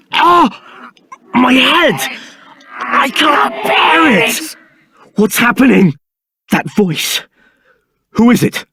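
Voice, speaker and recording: A man's voice: extremely high pitch, a bit bright, slightly rough, no echo, clean studio sound, full character voice. An actor playing a scheming, arrogant male templar captain in a video game, speaking in a haughty, condescending manner. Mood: anguished.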